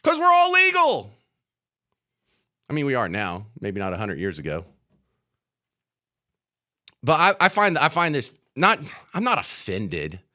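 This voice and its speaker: A man's voice: mid-range voice, wildly swooping pitch, measured pace, long pauses, pushed and bright. A man with an American accent delivering an opinionated political radio monologue, emphatic and indignant, repeating phrases to drive them home. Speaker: radio talk show host. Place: radio studio.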